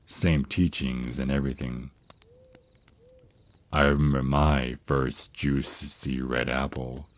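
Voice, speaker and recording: A man's voice: very deep voice, little pitch movement, slow. A man playing a tender, reassuring vampire naga mentor and boyfriend in an ASMR roleplay, speaking soothingly and affectionately.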